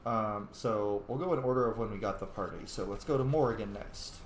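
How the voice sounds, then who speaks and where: A man's voice: lowish voice, brisk. A man doing casual gameplay commentary as host, informal and reacting aloud as he plays. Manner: informal, reacts aloud, mutters on-screen text. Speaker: gaming video host, player. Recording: roomy echo, faint background noise.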